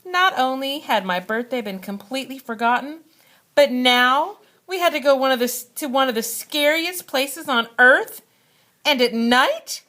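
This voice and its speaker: A woman reading a children's storybook aloud like a schoolteacher, with expressive, dramatic narration and deliberate phrasing. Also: high-pitched. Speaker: second grade teacher reading aloud.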